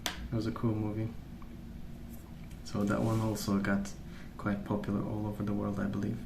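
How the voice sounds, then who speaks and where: Roomy echo, audible background noise, soft-spoken man, creaky voice, hushed ASMR musing. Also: lowish voice, monotone, normal speed. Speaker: ASMR video host. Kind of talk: soft-spoken ASMR rambling while colouring.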